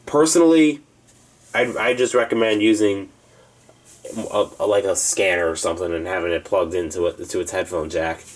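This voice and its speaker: A man's voice: sits low, normal speed. A man giving a casual, rambling tour of a hobby collection, explaining things informally as he goes. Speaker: hobbyist presenting a radio collection.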